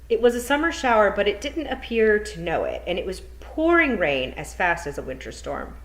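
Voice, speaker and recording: A woman's voice: lowish voice, rough recording. Woman teacher reading aloud to kids. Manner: chatty, encouraging, talks directly to children. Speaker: teacher giving a read-aloud. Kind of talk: friendly classroom talk and storybook reading. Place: home.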